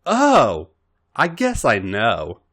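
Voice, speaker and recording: A man voicing a sweet, wide-eyed young woman in a story, with breathy exclamations and a teasing, stern edge. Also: medium pitch, wildly swooping pitch, drawn-out words, a bit bright, heavily acted. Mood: mock disappointed, scolding.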